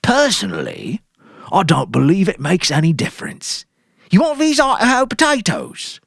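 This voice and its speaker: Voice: medium pitch, huge pitch swings, normal speed, gravelly, breathy edge, no echo, clean studio sound, voice pushed up, exaggerated voice. A narrator voicing a friendly male grocer with a folksy, pleasant manner.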